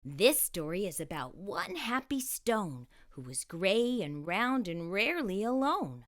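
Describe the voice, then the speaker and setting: A woman's voice: medium pitch, sing-song, a little slow. A woman narrating a children's storybook expressively, enunciating for young listeners as a storytime host.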